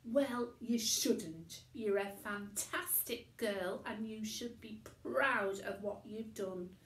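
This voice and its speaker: A woman voicing a gentle, encouraging father in a storybook, with a reassuring manner. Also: mid-range voice, slow, lightly acted. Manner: soft, reassuring. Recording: echoey room.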